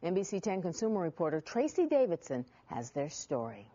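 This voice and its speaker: A woman's voice: fairly low pitch, big pitch swings, a little fast, mellow, husky, dull audio. A middle-aged American woman anchoring a newscast, reading a scripted introduction in a TV studio. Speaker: news anchor. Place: TV news studio.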